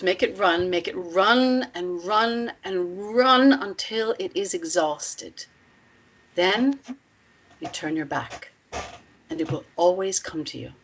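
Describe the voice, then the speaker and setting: A woman playing a commanding, measured female cult leader in a screenplay reading, instructive and deliberate. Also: mid-range voice, low-quality recording.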